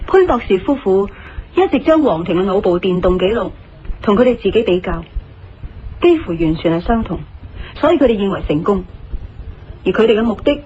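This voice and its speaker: An actress playing a sharp, confident woman who reasons things out deliberately in a Cantonese audio drama, with a Hong Kong accent. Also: mid-range voice, big pitch swings, slight background noise, natural voice. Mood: assured, probing. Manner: deliberate, didactic, assured. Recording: slightly muffled radio drama, music underneath.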